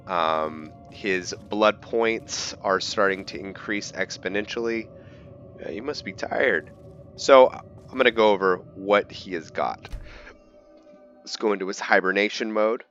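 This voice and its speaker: A man's voice: low-pitched, fairly bright tone. A man giving casual gameplay commentary as a host, rambling as he explains things step by step. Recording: dull lo-fi mic with faint noise.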